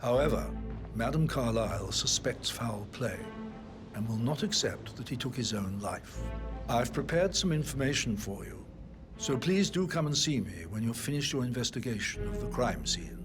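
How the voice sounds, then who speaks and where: English butler guy acting, mid-pitched, grave and deferential, measured, game dialogue over music. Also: lively intonation, natural voice. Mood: formal, grave. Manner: stiffly formal, measured, grave. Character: formal, discreet, deferential English manor butler.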